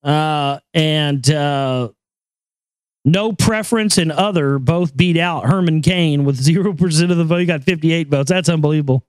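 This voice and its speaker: A man with a high voice hosting a casual talk show, joking crudely and rambling over news items. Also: normal speed, clear, resonant tone, no room sound. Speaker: show host.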